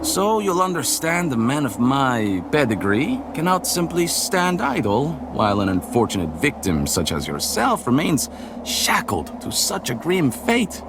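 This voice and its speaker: Casting: pompous, wheedling nobleman for a fantasy game, theatrical and highly animated. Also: a little slow, noisy background. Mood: wheedling, condescending. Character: pompous, self-important nobleman, wheedling and condescending. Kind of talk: dark fantasy game character acting.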